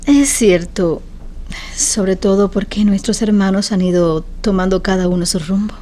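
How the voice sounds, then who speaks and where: Actress as a mellow, gracious young sister. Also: female voice, medium pitch, a little slow, natural voice. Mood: gracious, thoughtful. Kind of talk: Spanish-language radio drama acting.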